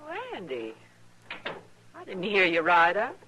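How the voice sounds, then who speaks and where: Bright, nervous frontier wife. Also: female voice, fairly low pitch, wildly swooping pitch, measured pace, heavily acted. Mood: defensive. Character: proper, nervous young frontier wife, defensive and loyal. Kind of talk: old-time radio Western drama acting. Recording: noisy vintage radio drama recording.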